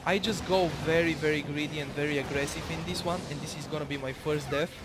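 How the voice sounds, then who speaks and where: A man's voice: on the high side, fairly even intonation, fast talker, very noisy. A young man from Bulgaria, with a Bulgarian accent and Bulgarian as his first language, casually commentating his own match, rambling, swearing freely and correcting himself. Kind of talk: casual commentary over gameplay.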